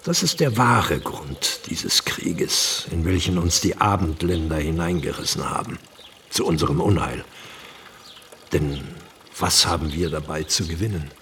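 An actor playing a frail, courteous old Turkish gentleman in a radio play, speaking formally and with weight, a pious and grave sage. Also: sits low, recorded from a distance, slight background noise. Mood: grave, warning. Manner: slow, deliberate, formal, weighty.

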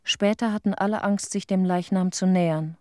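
An actress playing a haunted, tender middle-aged widow in a radio play, speaking in halting short phrases of her dead husband. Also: medium pitch, steady tone, a little mellow, dry recording, studio quality, lightly acted. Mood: haunted grief. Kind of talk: intimate radio play monologue.

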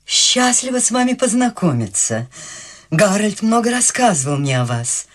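An actress playing a cheerful, eccentric eighty-year-old countess who talks in a lively, chatty and warmly insistent way in a radio play. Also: female voice, medium pitch, normal speed. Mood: delighted. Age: 80s (figure). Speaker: lead character.

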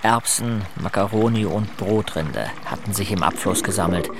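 A man's voice: fairly low pitch, moderate pace, background noise, natural voice. An actor narrating in the first person as a brooding man recalling boyhood, scripted for a German audio drama. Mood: reflective.

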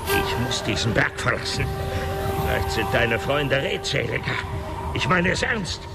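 An actor playing a huge, brutal ape warrior in an audio drama, gravelly, slow and threatening. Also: male voice, medium pitch, lively intonation. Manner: menacing, slow, deliberate. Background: loud dramatic music.

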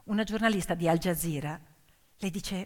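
A woman's voice: fairly low pitch, normal speed. A woman performing a dramatic theatrical monologue as a stage storyteller, intense and emphatic, in short suspenseful phrases. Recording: long hall reverb, piano music underneath. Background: piano accompaniment.

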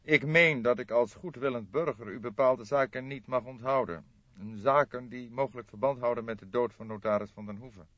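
A man voicing a courteous, apologetic gentleman in his forties in an audiobook, speaking formally and emphatically. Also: mid-range voice, normal speed, dry recording, pitched above the performer's own voice, natural voice. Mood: formal, forthcoming. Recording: dull, dry lo-fi audiobook recording.

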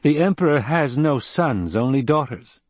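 A man's voice: a little high, lively intonation, normal speed, no room sound, voice pushed up. A male narrator voicing a proud young nobleman who speaks with commanding force. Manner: imperious, forceful delivery.

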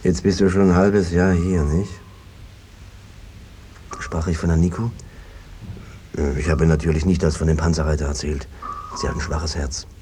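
An actor playing an evasive, henpecked peasant brother who talks around things in a cajoling, hesitant way, in a 1950s radio drama style. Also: male voice, extremely low pitch, level tone, normal speed, pauses often, mellow. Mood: evasive, uneasy. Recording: noisy old 1950s radio recording.

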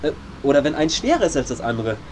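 A man acts out a pompous young detective in a parody radio play. He ponders haltingly and makes grand deductions, with very animated intonation, over a noisy amateur recording. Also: a little high. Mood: pondering. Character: Justus, pompous boy detective, earnestly scatterbrained leader.

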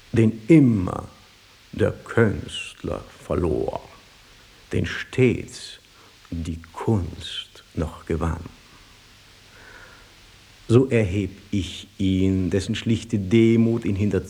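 An actor playing a grand chorus speaker, declaiming scornful, solemn verse in a measured, emphatic way for a radio drama. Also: male voice, lowish voice, gravelly, darkish tone. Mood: exalted. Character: grand chorus speaker proclaiming a scornful ode praising a poet.

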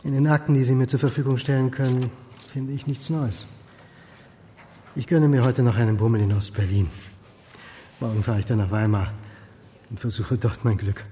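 A man's voice: medium pitch, steady tone, normal speed, dark tone, slight background noise, natural voice. An actor playing an anxious, self-doubting history lecturer in his forties, in introspective radio drama monologue. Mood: polite, determined. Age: 40s.

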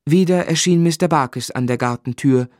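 An actor playing a melancholy man who narrates his sorrowful boyhood in measured, literary style. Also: fairly high pitch, darkish tone, no echo, studio quality, natural voice. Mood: reflective, melancholy. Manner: measured, reflective.

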